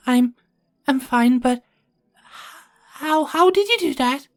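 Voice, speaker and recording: A woman playing a caring, dutiful young queen in an ASMR roleplay, speaking formally and softly with small hesitant stammers. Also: high voice. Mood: startled, amazed. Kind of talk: ASMR roleplay, scripted character acting.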